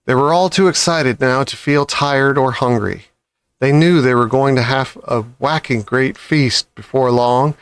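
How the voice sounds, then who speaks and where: A man's voice: mid-range voice, clean tone, dry recording. A man narrates a children's storybook. His voice sits in the middle range for a man, and the recording is dry, with hardly any room sound. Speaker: audiobook reader.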